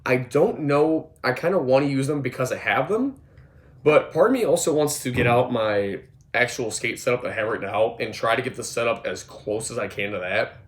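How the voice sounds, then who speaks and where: Hoarse young man rambling in an echoey room. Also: mid-range voice. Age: 20s. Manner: rambling, filler-heavy, moves around while talking. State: hoarse from a cold. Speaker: skateboarding vlogger. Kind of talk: casual product walkthrough. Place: indoor room with gym mat. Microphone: phone or camera moved around.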